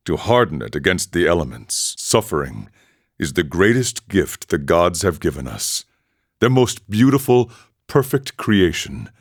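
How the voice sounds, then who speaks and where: An actor playing a serene, eccentric ascetic monk in a video game, speaking in a measured, philosophical way with formal phrasing. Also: male voice, low voice, full character voice. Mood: philosophical, reverent. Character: serene, eccentric ascetic drug-taking monk who relishes suffering. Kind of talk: scripted game character dialogue.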